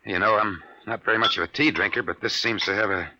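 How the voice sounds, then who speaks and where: An actor playing a nervous, hesitant private detective in distress in a 1950s radio drama. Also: male voice, on the low side, quick pace, close to an everyday voice. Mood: uneasy, polite. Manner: hesitant, stammering, breathless pleading. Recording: noisy muffled 1950s radio recording.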